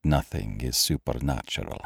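A man playing a grave, authoritative doctor in an audiobook, with a grim, measured, gently commanding manner. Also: a bass voice, creaky, breathy edge, no echo, studio quality, voice pushed down, heavily acted. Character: grave, authoritative male doctor, expert on the supernatural. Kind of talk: audiobook character acting.

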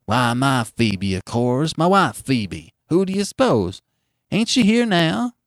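A man's voice: mid-range voice, very animated, slow, dry recording, studio quality. A man voicing a frail, confused old farmer with a folksy, insistent rural American way of talking. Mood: eager. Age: older. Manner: insistent, folksy, plain-spoken. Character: frail demented old farmer searching eagerly for his wife.

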